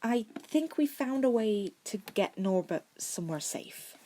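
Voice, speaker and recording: A woman's voice: on the high side, animated, measured pace, warm tone, pitched above the performer's own voice. Woman playing young schoolboy, earnest, stubborn, slightly grumpy, casual delivery, audiobook character. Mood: hesitant. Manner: casual, hesitant.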